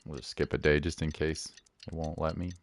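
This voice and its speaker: A man's voice: rumbling low, little pitch movement, brisk. A man giving casual gameplay commentary as a streamer, with offhand, muttered reactions. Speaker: gaming streamer.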